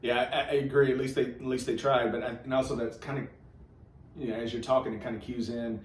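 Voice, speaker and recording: A man's voice: medium pitch, little pitch movement, fast. Roomy, distant-miked man hosting church chat. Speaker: host of a church read-along show. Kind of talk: casual conversational Bible discussion. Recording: echoey room, distant mic, faint noise.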